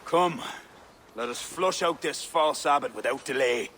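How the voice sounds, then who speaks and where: A man playing a pious, commanding medieval Irish high king in a video game, solemn and declamatory. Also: a little slow, fairly bright tone, a little hiss or hum, lightly acted. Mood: grave resolve. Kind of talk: video game cutscene acting.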